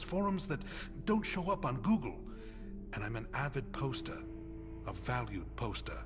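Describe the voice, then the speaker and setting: Game role, male actor as eccentric preacher and hobbyist conspiracy nut; mid-range voice, grandly pulpit-like yet hesitant, clear music bed underneath. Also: mid-range voice, lightly acted. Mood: sheepishly proud. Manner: sermonizing, florid phrasing with halting hesitations. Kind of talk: video game cutscene monologue. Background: background music.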